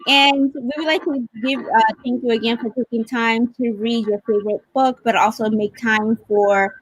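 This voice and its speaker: Female livestream host, slightly high-pitched, appreciative and encouraging, informal delivery, dry video-call audio. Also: steady tone, normal speed, dry recording, rough recording. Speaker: host of livestreamed read-along.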